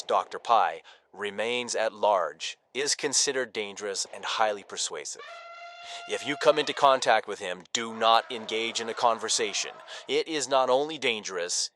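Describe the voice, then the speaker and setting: An actor playing a stern, stiff police officer giving a measured official update in an audio drama news report. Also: male voice, fairly low pitch, a bit bright, close to an everyday voice.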